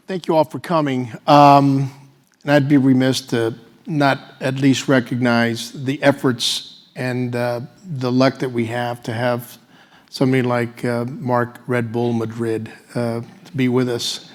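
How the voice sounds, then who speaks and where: A man hosting a panel, speaking semi-formally and off the cuff in a halting way with restarts. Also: mid-range voice, flat delivery. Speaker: panel moderator and host.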